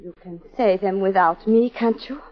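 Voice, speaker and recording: An actress playing a guarded, troubled governess in a clipped, dramatic 1940s radio style. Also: female voice, mid-range voice, normal speed, close to an everyday voice. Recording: dull 1940s radio broadcast, music behind.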